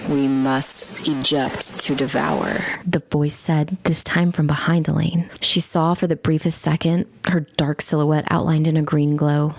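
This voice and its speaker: A woman narrating a scripted dark fantasy audiobook in a dramatic storytelling style. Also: low voice, creaky. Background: faint music.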